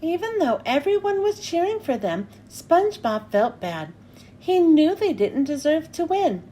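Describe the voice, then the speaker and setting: A woman reading a picture book aloud to children with an expressive, animated delivery. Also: sits high, unhurried, a little hiss or hum.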